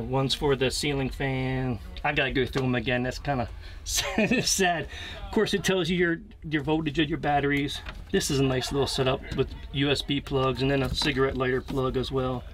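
An American man in his fifties giving a casual, unscripted walkthrough in a plain-spoken, hesitant way, recorded inside a small camper trailer. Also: mid-range voice. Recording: clearly noisy location sound with clicks.

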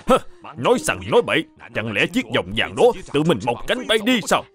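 An actor playing a suspicious, wealthy middle-aged master of the house, scolding and accusing in an animated drama. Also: male voice, a little high, a little fast. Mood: angry.

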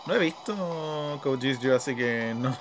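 A man with a Chilean accent talks his way through a video game in an average-pitched voice. His commentary is casual and unscripted, often muttered as if thinking out loud. Game music plays clearly behind him. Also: expressive, a little slow. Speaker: gaming video host. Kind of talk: casual off-the-cuff gameplay commentary. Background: video game battle music.

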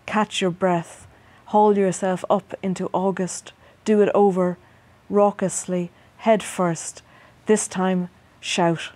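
A middle-aged Irish woman poet, reading her work and answering interview questions in a measured, hesitant way with many ums, recorded on a studio set with a lapel mic. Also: mid-range voice, little pitch movement, a little mellow. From Ireland. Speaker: poet guest.

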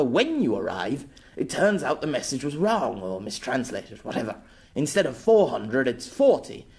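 A proper old former knight, formal and a little flustered, stumbling over his words when embarrassed, as a male narrator plays him. His voice moves lively through its range in a storybook reading. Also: mid-range voice.

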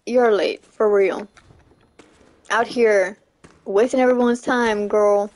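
A woman's voice: mid-range voice, fairly even intonation, takes it slowly, darkish tone. A young woman streaming a game, chatting casually with viewers and laughing often. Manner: chatty, laughs often, repeats "you know what I'm saying". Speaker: livestreamer. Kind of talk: casual chat while gaming, reading chat.

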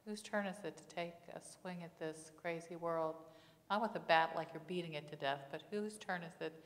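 A woman's voice: fairly low pitch, normal speed. A middle-aged woman pastor preaching in a church on a lapel mic, conversational, with frequent rhetorical questions. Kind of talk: sermon. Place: church sanctuary. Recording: long church reverb.

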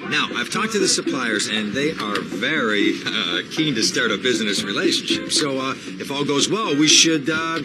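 An actor playing a jittery, sleazy lawyer in a video game, nervous, stammering and repeating himself. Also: male voice, mid-range voice, expressive, normal speed, hardly any pauses, over loud music, close to an everyday voice, low-quality recording. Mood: nervous eagerness. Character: jittery, fast-talking sleazy male lawyer. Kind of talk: video game cutscene acting.

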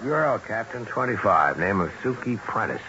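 An actor playing a skeptical, terse police sergeant in a 1970s radio drama, clipped and matter-of-fact. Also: male voice, on the low side, sing-song, moderate pace. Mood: clipped, factual. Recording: dull, noisy old radio broadcast.

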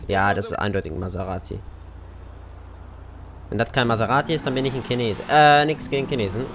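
A man's voice: medium pitch, a little fast, crowd noise. A young man with an Austrian German accent giving casual, chatty commentary over his gameplay and cursing at other drivers. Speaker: let's play gamer. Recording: game car noise under voice.

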